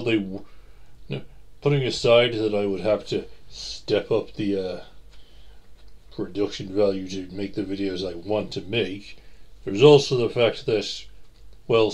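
Slow-talking man, fairly low voice. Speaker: host of an audio roleplay channel. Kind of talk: casual rambling announcement to listeners.

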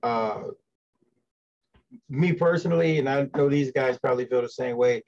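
A man's voice: mid-range voice, normal speed, darkish tone. A middle-aged man co-hosting a casual interview over a video call, speaking effusively. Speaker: co-host interviewing guests. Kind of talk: casual chat. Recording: dull lo-fi video call audio.

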